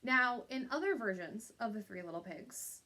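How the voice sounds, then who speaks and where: A woman's voice: on the high side, expressive, moderate pace, bright, forceful tone. A woman teacher giving an instructional lesson to young pupils, explaining step by step and checking in with little tag questions.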